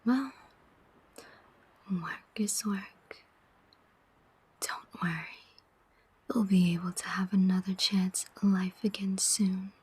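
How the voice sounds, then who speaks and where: Casting: woman to play female demon soul collector for ASMR roleplay. Very breathy whisper, extremely unhurried, sardonic, weary, teasing. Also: medium pitch, steady tone. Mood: teasing, wry. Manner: slow, deliberate, teasing, pausing often.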